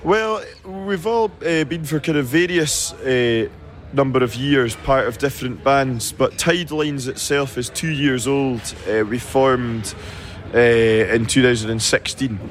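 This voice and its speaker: A man in his twenties from the Scottish Highlands, a Gaelic native speaker with a Highland accent, answering interview questions about his band in a friendly, halting way full of ums and restarts. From Scotland. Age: 20s. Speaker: band singer.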